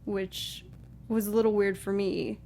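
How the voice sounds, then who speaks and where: A woman's voice: medium pitch, flat delivery, a little slow, gravelly, mellow. A woman co-hosting a film discussion show, thinking aloud in informal, casual chat. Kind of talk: casual panel chat about movies.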